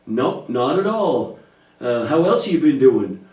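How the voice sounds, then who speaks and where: Mellow, reassuring man in an echoey room. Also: medium pitch, big pitch swings, slow pace. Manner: reassuring, patient, explanatory. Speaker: adult partner in a church puppet show. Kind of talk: gentle scripted dialogue teaching children about faith. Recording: echoey room, distant microphone.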